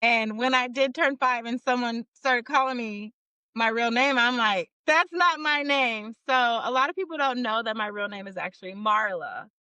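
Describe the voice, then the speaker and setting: A woman's voice: fairly high pitch, moderate pace, bright, forceful tone, smooth, no room sound, rough recording. A woman in her forties from Northern California, a small business owner talking earnestly in a casual interview and laughing easily. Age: 40s.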